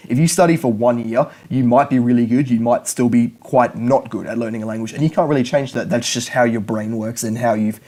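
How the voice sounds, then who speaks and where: A young man talks straight to camera in a relaxed, unscripted lesson. He rambles a little, doubling back on words and restarting phrases as he explains. His voice sits at an ordinary middle pitch for a man. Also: fairly quick, hardly any pauses. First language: English. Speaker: language learning YouTuber.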